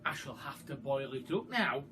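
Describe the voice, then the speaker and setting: A man voicing a mischievous, excitable young boy from a storybook, with an eager, scheming manner. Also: medium pitch, normal speed, voice pushed down. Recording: far from the mic, noisy background.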